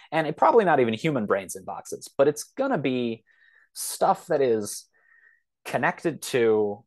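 A man in his thirties explaining things casually as a podcast guest, rambling and often correcting himself as he goes. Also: on the low side. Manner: rambling, self-correcting, hedging.

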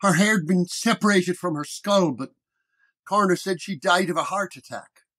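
Man playing young cop, sneering and gruff, pitched up, for a noir horror audio story. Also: moderate pace, fairly bright tone. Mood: matter-of-fact. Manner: gruff, needling. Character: brash sneering young Irish-American police sergeant.

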